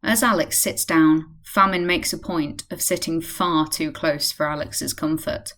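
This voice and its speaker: A woman's voice: deep voice, fairly even intonation, measured pace, fairly bright tone. A woman narrating a scripted radio play.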